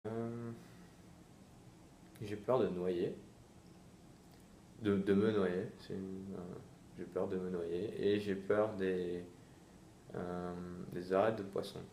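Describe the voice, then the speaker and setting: A nineteen-year-old man from France introducing himself, hesitant and thoughtful, with many pauses and fillers. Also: low-pitched, fairly even intonation, dark tone, reverberant, slight background noise. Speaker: interviewee. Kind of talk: casual self-introduction.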